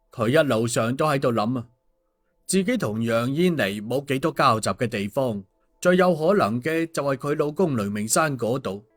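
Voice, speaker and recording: A man narrating a scripted story, as an audiobook reader. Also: medium pitch. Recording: music under the voice. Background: background music.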